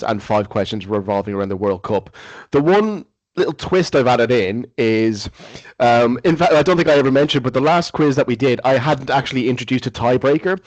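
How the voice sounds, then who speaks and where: A man hosting a football quiz on a podcast, speaking at a middle pitch in a dry room with no echo. He reads each question slowly and carefully, with plenty of ums and uhs between them. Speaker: quiz host.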